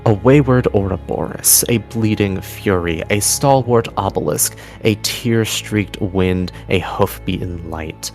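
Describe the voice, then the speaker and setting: A man delivering dramatic scripted narration as a game master, solemn and theatrical, with deliberate pauses. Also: on the low side, creaky. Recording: music bed behind the voice. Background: music.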